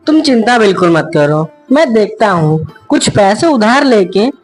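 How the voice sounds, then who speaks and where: A woman's voice: mid-range voice, sing-song, a little slow, darkish tone. A woman playing a poor, tender-hearted village husband in a cartoon moral story, speaking in an earnest way. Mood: worried, lamenting. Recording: music behind the voice.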